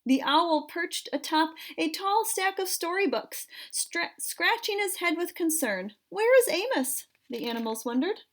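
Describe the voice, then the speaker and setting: A woman reading a picture book aloud for children in an expressive, measured storytelling style. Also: very high-pitched.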